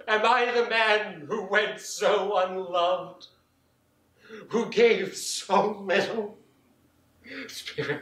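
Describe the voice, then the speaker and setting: A man's voice: high-pitched, a bit bright, heavily acted. A man playing an older male miser in a one-man storytelling performance, theatrical, pleading and exclaiming. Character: older male miser, desperate then giddy with joy. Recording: roomy, distant mic, faint ambience.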